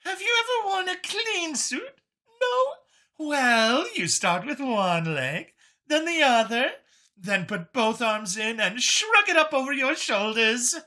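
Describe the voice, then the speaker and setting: Narrator doing alien lady researcher for a scifi audiobook, way up high, slow careful wording, very matter of fact. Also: big pitch swings, bright, forceful tone, heavily acted. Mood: instructive. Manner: instructing, precise, formal wording.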